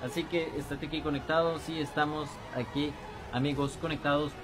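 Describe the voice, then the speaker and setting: A man's voice: on the high side, fairly quick, low-quality recording. A young man in his twenties with a Costa Rican accent, chatting animatedly to fans from a small bedroom. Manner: animated, gestures a lot. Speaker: fan voice actor, channel host. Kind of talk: casual video chat to fans.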